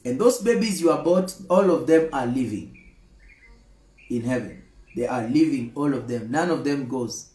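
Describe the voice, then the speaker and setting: A man's voice: a little high, darkish tone, roomy sound. A Kenyan man in his thirties preaching a daily devotional with emphatic, repetitive phrases and lots of gestures, filmed close up on a phone camera indoors. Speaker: pastor. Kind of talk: livestreamed daily devotional sermon. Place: indoor room with screen and flowers.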